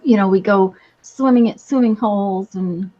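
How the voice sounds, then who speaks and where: A woman's voice: mid-range voice, normal speed, warm tone. A woman chatting casually in a hesitant, rambling way. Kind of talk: casual chat. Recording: slightly dull, lo-fi sound.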